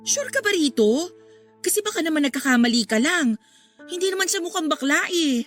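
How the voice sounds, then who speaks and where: A caring but nosy older sister in a radio drama. Her voice sits a little high, insistent, teasing and expressive. Soft music plays behind her. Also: brisk. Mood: shocked, incredulous. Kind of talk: Tagalog radio drama acting.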